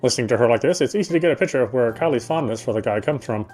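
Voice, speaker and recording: Fast-talking man streaming a story game. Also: mid-range voice, lively intonation, darkish tone. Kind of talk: casual chat and reading game narration aloud. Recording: faint game music behind.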